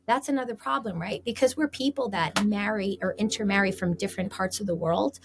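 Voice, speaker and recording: A woman's voice: medium pitch, brisk, noisy background. A woman giving conversational relationship advice as a podcast guest, explaining through example questions and quotes.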